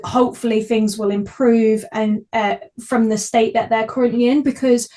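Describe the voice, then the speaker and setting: Woman with a slightly high voice. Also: a little mellow. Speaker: podcast host. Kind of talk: casual podcast interview.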